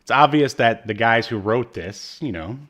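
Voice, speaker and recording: A man's voice: medium pitch, expressive, moderate pace, fairly bright tone. A man co-hosting a podcast, chatting casually through a recap with rambling, jokey asides. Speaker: podcast co-host. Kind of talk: casual chat, recapping a TV episode.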